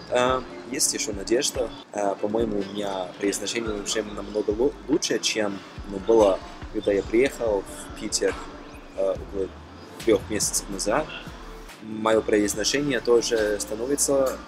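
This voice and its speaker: Low-voiced young man, halting non-native talk. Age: young adult. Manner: halting, frequent fillers and self-corrections, non-native speaker. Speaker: language-learning vlogger. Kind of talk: casual talk to camera. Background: background music.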